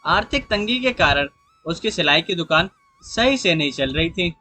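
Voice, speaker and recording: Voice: medium pitch, expressive, moderate pace, bright, forceful tone, soft background music. A narrator reading a scripted moral story in a storytelling style.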